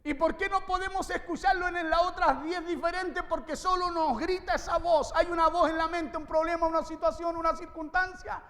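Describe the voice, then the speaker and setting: Man, preacher, extremely high pitch, impassioned exhorting sermon, emphatic repetition, long hall reverb. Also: steady tone, moderate pace, few pauses, fairly bright tone. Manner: exhorting, repeats phrases for emphasis. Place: large reverberant room, preaching to a congregation.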